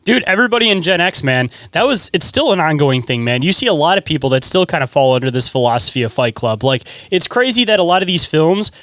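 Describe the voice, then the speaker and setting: A man hosts a casual podcast, talking rapidly in a somewhat higher-than-average voice. His phrasing is loose and slangy, padded with filler words. The recording is close and dry. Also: sing-song, fairly bright tone, no room sound. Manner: slangy, filler-heavy, says "like" and "dude" often. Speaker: podcast host.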